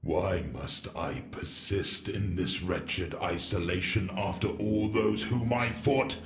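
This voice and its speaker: A man's voice: deep voice, slow. A man playing an ancient, bitter warrior entombed in a war machine, speaking in grand, archaic, rambling monologue for a sci-fi audio drama. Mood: bitter, mournful. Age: older. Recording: echoing voice over background music.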